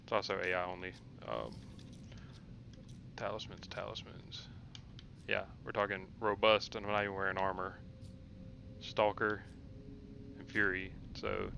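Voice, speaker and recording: A man's voice: low voice, flat delivery, a little slow, bright, forceful tone, dull audio. A man giving casual commentary on his own video game playthrough, often muttering asides to himself. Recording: clearly noisy background.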